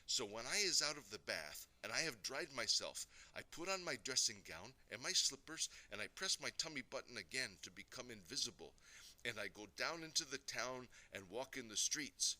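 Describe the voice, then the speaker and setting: A man voicing a boastful, excitable young boy telling his dreams in childlike, ungrammatical speech for a storybook. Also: mid-range voice, moderate pace, rough recording. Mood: excited.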